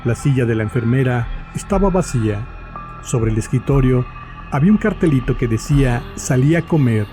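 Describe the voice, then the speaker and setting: A man narrates a scripted horror tale in a mellow, dark voice of medium pitch. Faint music runs underneath his narration. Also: expressive, normal speed.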